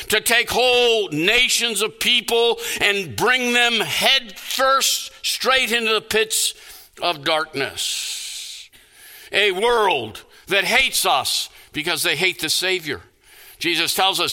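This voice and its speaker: A man preaching a sermon in an emphatic, deliberate manner, building on repeated phrases and rhetorical questions. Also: high-pitched, pushed and bright.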